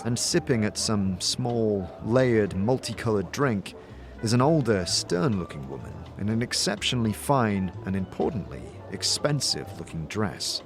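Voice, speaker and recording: A man's voice: on the low side, sing-song, moderate pace, soft background music. A man narrating a scripted story in the second person, as the narrator of an audio drama.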